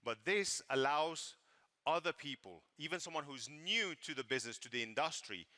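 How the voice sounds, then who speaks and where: A man with a French accent speaks from a stage with a crisp, bright tone. He leans on words and repeats them for emphasis, playing with the audience between points. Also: on the high side, expressive, moderate pace. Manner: emphatic, repeats words for stress, playful with the crowd. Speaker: co-presenter at a business event. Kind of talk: live stage presentation.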